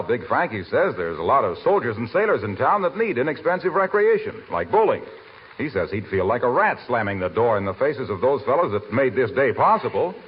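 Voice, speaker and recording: An actor playing a chummy, good-natured joker with a husky voice in a 1940s radio comedy. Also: male voice, medium pitch. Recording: muffled 1940s radio recording.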